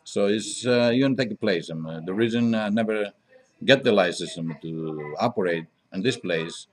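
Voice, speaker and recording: Middle-aged man, low-pitched voice, Greek accent, native Greek speaker, halting phrasing, frequent pauses, conversational interview guest. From Greece. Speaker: interview guest, diner owner.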